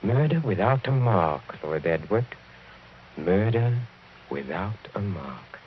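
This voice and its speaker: An actor playing a suave, sinister count in a 1940s radio horror drama, speaking in a deliberate, theatrical, mocking way. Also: male voice, sits low, very animated, full character voice. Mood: quietly menacing. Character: suave sinister middle-aged count, intense, coolly menacing. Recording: muffled, noisy vintage radio recording.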